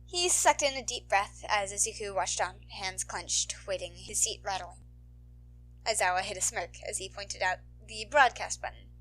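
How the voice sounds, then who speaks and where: A woman reading a story aloud as an amateur narrator, in an expressive storytelling style. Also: mid-range voice, normal speed, a little hiss or hum, low-quality recording.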